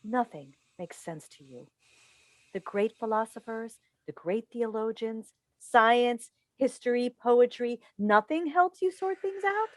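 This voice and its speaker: A woman's voice: fairly high pitch, expressive, measured pace. A woman playing a worried, exasperated mother in a dramatic play reading, pleading and emphatic. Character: worried, exasperated mother of a brilliant, troubled son. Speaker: stage actor in a play reading. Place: video call, remote staged reading. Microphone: video call microphone.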